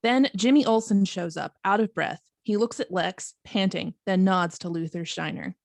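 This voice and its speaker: A woman's voice: mid-range voice, moderate pace, vocal fry, no echo, studio quality. A woman reading screenplay stage directions aloud as narrator in a scripted table read.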